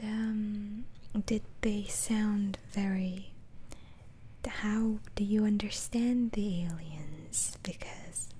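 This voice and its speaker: A woman whispering softly and breathily in an ASMR roleplay as a gentle, reassuring doctor, asking questions in a hesitant way. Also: mid-range voice, slight background noise. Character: calm, reassuring asylum doctor questioning a patient.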